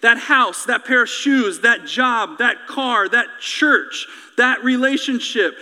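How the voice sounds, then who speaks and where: A man's voice: extremely high pitch, takes it slowly, pushed and bright. A man preaching an impassioned sermon with emphatic, rhetorical, repetitive phrasing. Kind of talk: impassioned sermon with repeated phrases, closing in prayer. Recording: reverberant hall sound.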